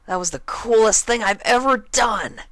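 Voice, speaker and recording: A man voicing a brash, excitable young female pegasus pony in a gushing, exclaiming way for a story reading. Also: sits high, normal speed, no room sound, pitched above the performer's own voice. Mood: thrilled, exhilarated.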